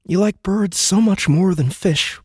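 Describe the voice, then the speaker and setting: A man voices a young father, tender and quietly sorrowful. His voice is husky and gentle, reassuring a small child in close, dry audiobook drama. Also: sits high, a little slow, no echo, voice pushed up. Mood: sorrowful, loving.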